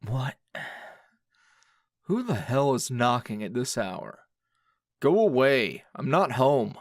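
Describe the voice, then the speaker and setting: A man acts out a world-weary anime hero with a mid-pitched voice. He speaks slowly, halting and raw, with a sardonic, grouchy edge, in a dry, close ASMR roleplay recording. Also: no room sound. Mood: irritated. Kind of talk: ASMR roleplay dramatic acting.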